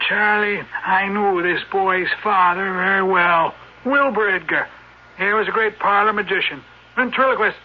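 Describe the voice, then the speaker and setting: High-voiced jovial old man, old radio. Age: older. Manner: folksy, garrulous, hesitating with ohs and uhs. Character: rich retired old man, jovial, crotchety about his nephew. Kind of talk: old-time radio drama acting. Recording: dull, band-limited old radio recording.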